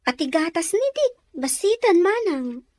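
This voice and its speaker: A woman's voice: very high-pitched, very animated, a little slow, warm tone, dry recording, full character voice. A woman with an Ilocano accent playing a plaintive, practical younger sister in a Filipino radio drama. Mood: complaining, concerned.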